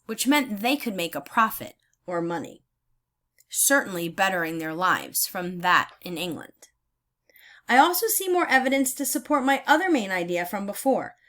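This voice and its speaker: Woman teacher reading aloud, very lively pitch. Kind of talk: instructional read-aloud of a children's history book.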